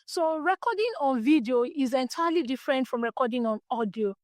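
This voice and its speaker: Woman, high tiny voice, Nigerian English accent, earnest and encouraging, dry close sound. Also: animated, a little fast, a little mellow, no room sound. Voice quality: small, light voice. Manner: earnest, faith-centered, encouraging. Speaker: podcast host. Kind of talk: reflective solo podcast talk.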